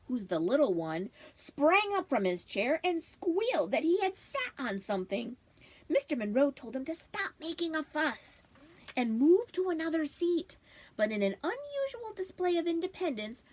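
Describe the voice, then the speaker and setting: A woman reading a story aloud in an expressive, animated storybook style. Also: sits high. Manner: animated, acting out dialogue. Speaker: librarian reading aloud to children.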